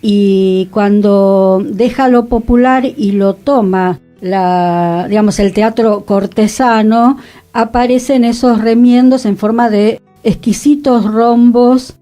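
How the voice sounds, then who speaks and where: A woman explains her points fluently in a mellow, warm voice, co-hosting a radio discussion. Faint music sits underneath her. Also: on the high side, steady tone, slow. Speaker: radio show co-host.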